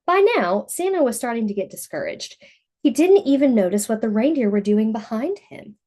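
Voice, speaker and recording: Animated, mellow woman reading a storybook. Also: a little high, moderate pace. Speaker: storybook reader for children. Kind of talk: read-aloud storytelling for young children.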